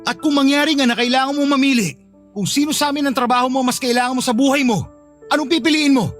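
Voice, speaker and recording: A man's voice: very high voice, quick pace, a bit bright, soft background music. A man with a Filipino accent acting as a hurt, insistent young boyfriend in a radio drama, earnest and pleading. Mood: pleading, wounded. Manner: earnest, pleading, emotionally pressing.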